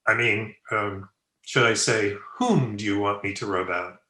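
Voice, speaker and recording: A man's voice: on the low side, measured pace, bright, forceful tone. A man playing a suave, flippant bachelor playboy in an old-time radio comedy, breezy and self-satisfied. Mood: breezy, boastful. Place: indoor room, remote video call. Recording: roomy echo on home video-call recording.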